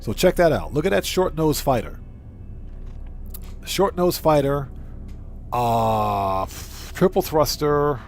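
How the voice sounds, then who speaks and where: A man's voice: on the high side, lively intonation, slow pace, long pauses. Chatty man livestreaming a game over music. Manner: chatty, thinks aloud, repeats phrases. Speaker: livestream host. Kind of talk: casual gaming livestream commentary, greeting chat.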